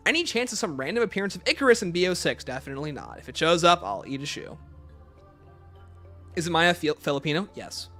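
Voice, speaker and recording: A man speaks in a fairly high, very lively voice as he hosts a casual livestream. He fields questions with emphatic, opinionated answers, and music plays clearly behind him.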